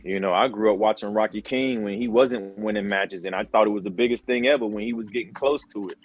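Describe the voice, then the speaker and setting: Relaxed middle-aged man telling stories. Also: lowish voice, quick pace, no room sound. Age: 40s. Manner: relaxed, storytelling, repeats words and fillers. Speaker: podcast guest. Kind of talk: casual chat.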